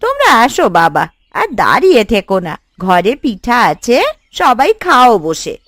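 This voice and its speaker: A woman's voice: on the high side, huge pitch swings, unhurried, fairly bright tone, no room sound, exaggerated voice. A woman voicing an elderly, anxious, hospitable village grandmother in a Bengali cartoon story. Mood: welcoming.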